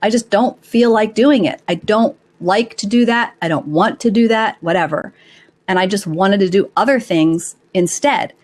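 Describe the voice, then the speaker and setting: A woman's voice: medium pitch, moderate pace, a little mellow. Encouraging woman giving live advice. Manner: encouraging, explanatory. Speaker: live advice show host. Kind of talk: conversational advice talk to live audience. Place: live online call-in broadcast.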